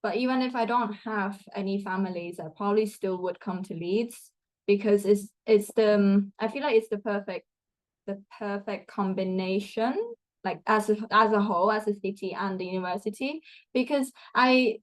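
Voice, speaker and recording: A woman's voice: mid-range voice, fairly even intonation, moderate pace, low-quality recording. A young woman from Thailand, a student guest answering interview questions in a chatty way, with many fillers and restarts. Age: young adult.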